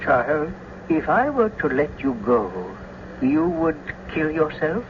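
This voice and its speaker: A man's voice: fairly high pitch, sing-song, slow. A man playing an earnest, fatherly friar in a classical tragedy, with an urgent, declamatory delivery. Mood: urgent, reassuring. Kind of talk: classical tragedy radio acting. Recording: muffled, noisy old radio recording.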